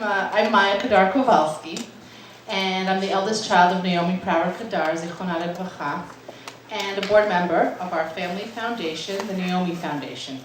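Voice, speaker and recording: A woman welcomes an audience and reads prepared remarks at a podium. Her pitch sits mid-range, and her voice rings in a roomy hall over a faint background hum. She speaks in a measured way with the odd small slip. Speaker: lecture host giving opening remarks. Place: university lecture hall.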